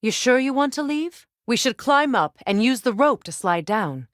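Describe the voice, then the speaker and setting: An actress voicing a stern, wise warrior goddess in a video game, speaking with measured, formal diction. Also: female voice, on the high side, sing-song, dry recording, studio quality. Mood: composed, observant. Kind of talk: dramatic video game voice acting.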